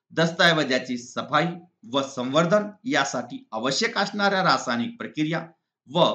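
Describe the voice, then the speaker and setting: A man teaching in a deliberate, didactic way, reading exam answers point by point. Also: medium pitch, pushed and bright. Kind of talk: exam answer walkthrough, lesson read aloud. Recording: slightly dull lo-fi recording.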